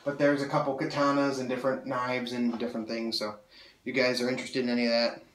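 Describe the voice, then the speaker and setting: A man's voice: medium pitch, level tone, fast talker, fairly bright tone, slight background noise. A young man with a slightly nasal voice from a cold, enthusiastically reviewing a sword in casual, rambling talk with many fillers. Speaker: sword collector reviewing blades. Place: small room full of swords, indoors. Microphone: camera mic from several feet away. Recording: roomy echo, mic at a distance.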